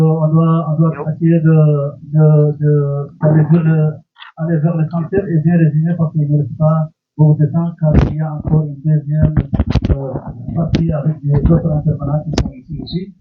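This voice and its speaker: Hesitant male moderator, flat and high voice, distant tinny sound with loud noise. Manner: hesitant, many fillers. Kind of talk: chairing a public meeting. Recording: tinny, distant, noisy recording.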